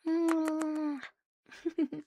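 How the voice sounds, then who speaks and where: A woman streaming ASMR in a soft, breathy, often whispered voice, playful and intimate, close to the microphone. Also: sits high, completely flat delivery, very slow, no room sound. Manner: playful, giggly, intimate, lingering on repeated words. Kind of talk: ASMR roleplay with casual rambling to stream viewers.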